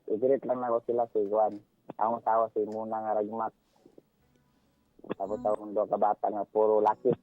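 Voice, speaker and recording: A man with a Cebuano accent calling in to a radio show, giving casual advice in an informal, halting way. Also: lowish voice, level tone. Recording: tinny phone line, music underneath. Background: background music.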